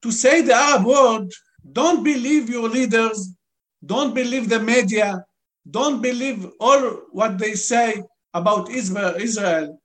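A man from Lebanon, a native Arabic speaker, answering interview questions in halting, simple English with a Lebanese Arabic accent. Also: very high-pitched, a bit bright, rough recording. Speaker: guest.

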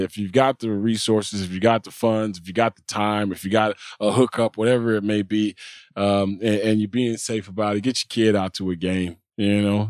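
A middle-aged American man hosting a talk show, chatting casually in a slangy, jokey, animated storytelling way. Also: fairly low pitch, no echo, clean studio sound. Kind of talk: casual storytelling chat with a co-host.

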